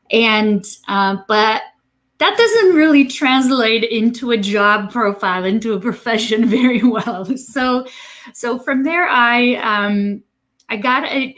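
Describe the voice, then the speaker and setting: A woman from Romania speaking as an interview guest, conversational and a bit halting as she repeats words while thinking. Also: mid-range voice, a bit bright. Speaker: interview guest, digital marketing agency president.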